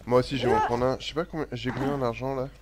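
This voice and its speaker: A man's voice: mid-range voice, flat delivery, rapid-fire. A young man giving casual, teasing commentary while playing a co-op video game with a friend. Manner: bantering, teasing. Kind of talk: casual co-op let's play chat. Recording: noisy home recording over game sound.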